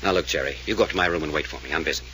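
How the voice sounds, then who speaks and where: A brusque investigator in a 1940s radio mystery. His low voice is hard and bright, moving fast with dry, unflappable command. A slight noise from the old transcription runs under it. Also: rough recording. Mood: brusque, skeptical. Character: brusque, unflappable male investigator taking charge.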